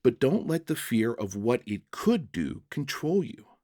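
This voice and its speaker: Man voicing a gentle, slow-spoken serene prisoner. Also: medium pitch, sing-song, no echo, clean studio sound. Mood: earnest, kind. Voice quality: soft, kind voice. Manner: slow, tender, reassuring. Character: gentle, serene male prisoner, kind and wise. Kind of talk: dramatic short-story reading.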